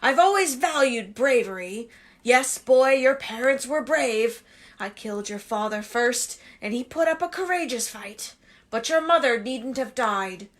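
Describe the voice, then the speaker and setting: A woman voicing a cruel male dark wizard who hisses threats and shrieks commands while reading a novel aloud. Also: on the high side, animated, unhurried. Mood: menacing. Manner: hissing, menacing, shrieking commands.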